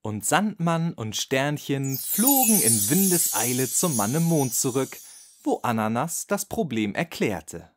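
A man's voice: mid-range voice, sing-song, unhurried, music in the background. A man narrating a scripted bedtime story for children. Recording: clearly noisy background.

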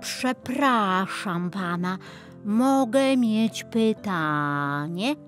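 A timid, polite young road roller from a children's storybook, played by a woman. He speaks very slowly and hesitantly, pitched a little up, with music behind. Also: expressive. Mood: shy. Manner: hesitant, deferential, drawn-out.

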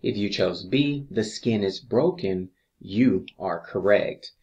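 A man reading scripted exam review questions as an instructor, deliberately, pausing between each answer choice. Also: on the low side, animated, a little mellow, highs cut off.

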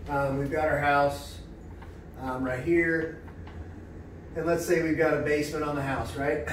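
A man's voice: fairly high pitch, slight background noise. A middle-aged man explaining things at a whiteboard in a small office, talking plainly with lots of fillers and checking in with "right?". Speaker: real estate agent. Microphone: camera a few steps away. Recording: echoey room, distant camera mic.

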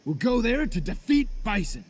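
Confident, high-voiced fighting hero. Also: male voice, big pitch swings, normal speed, a little hiss or hum. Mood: upbeat, confident. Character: confident, easygoing male martial artist, game hero. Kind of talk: dubbed video game cutscene acting.

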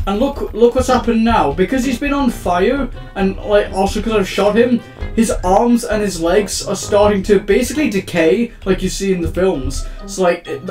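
A young man giving casual, excitable gameplay commentary as a gaming channel host, rambling and panicking aloud as things go wrong. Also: high-pitched. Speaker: gaming YouTuber. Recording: roomy, distant mic with background music.

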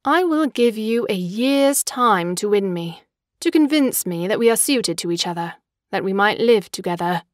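A woman's voice: mid-range voice, lively intonation, moderate pace, dry recording, clean studio sound, natural voice. An actress playing a fickle, imperious young woman in an audio drama, poised and articulate, with a mocking manner. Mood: commanding.

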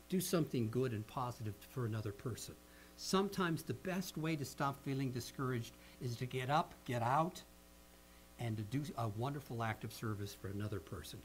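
A middle-aged man with an American accent preaching a sermon in an earnest, deliberate manner, stressing key phrases. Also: medium pitch. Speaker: pastor. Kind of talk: sermon with slides, measured public speaking. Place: indoor meeting hall at a lectern. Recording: noisy live hall recording with hum.

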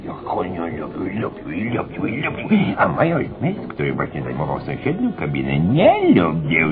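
A confiding, rambling teller of barroom tales in a radio comedy. His extremely deep voice swoops up and down, muddled and repetitive, with music behind it on a narrow recording. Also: heavily acted. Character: rambling, confiding male old hand spinning shady barroom anecdotes. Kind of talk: satirical radio comedy acting.

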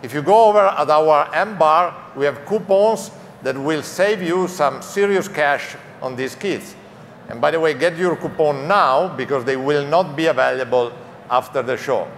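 Middle-aged executive with an Italian accent, affable and a little halting, voice sitting a bit high, hall echo. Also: male voice, a little hiss or hum. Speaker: company executive presenting. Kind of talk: press conference presentation. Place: large convention hall stage. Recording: echoey convention hall with faint ambience.

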